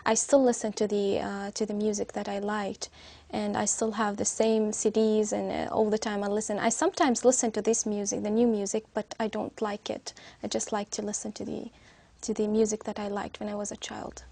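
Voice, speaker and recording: Young Syrian woman, gravelly creak, flat pitch. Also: brisk, no echo. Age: young adult. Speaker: student being interviewed as a speaking test candidate. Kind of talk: spoken exam answers.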